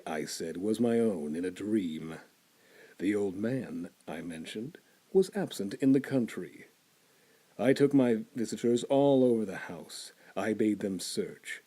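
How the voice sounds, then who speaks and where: A male storyteller reads a classic horror tale in a slow, deliberate way. His voice sits low and dark, with a husky grain. His delivery is theatrical, sinking to hushed whispers and climbing into tense, intense bursts.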